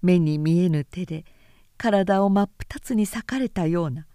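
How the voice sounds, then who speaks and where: A woman narrating a literary story in a composed, measured and carefully phrased way. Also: lowish voice, warm tone, smooth, no room sound, studio quality. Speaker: narrator and reader.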